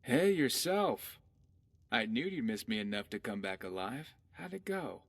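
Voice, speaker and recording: A man's voice: mid-range voice, big pitch swings, unhurried, creaky, dry recording, full character voice. A man voicing a sardonic but loyal pirate companion in a fantasy video game, with a dry delivery. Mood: wry, concerned. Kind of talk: scripted fantasy game dialogue.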